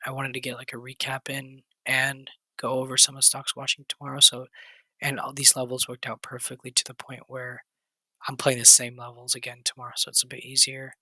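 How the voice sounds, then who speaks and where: A man with a raspy, hoarse voice, going over stock charts in a quick, casual walkthrough with fillers and restarts. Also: mid-range voice, monotone, pushed and bright, dry recording, rough recording. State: hoarse, recovering voice. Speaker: stock trading channel host.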